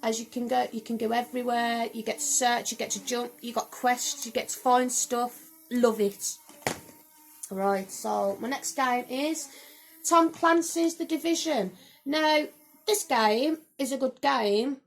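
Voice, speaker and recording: Chatty woman, slightly croaky. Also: fairly high pitch, measured pace, poor audio. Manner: chatty, rambling, with frequent "um" and "so, yeah". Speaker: gaming vlogger. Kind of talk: casual game collection review. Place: home room.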